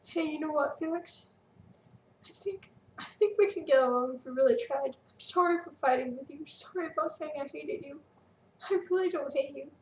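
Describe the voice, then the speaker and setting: A woman's voice: sits high, moderate pace, a little hiss or hum, voice pushed up. A woman reading a storybook, voicing a feisty, defiant young girl, with a roomy echo from a distant mic. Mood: gentle. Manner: defiant, yelling.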